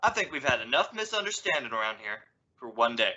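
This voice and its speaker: A man's voice: mid-range voice, sing-song, fast talker, sharp tone. A man playing a peacemaking father in an old-time radio comedy, reasoning in an emphatic, fatherly way. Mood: conciliatory, firm. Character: peacemaking father of a teenage girl. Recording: dull, band-limited audio.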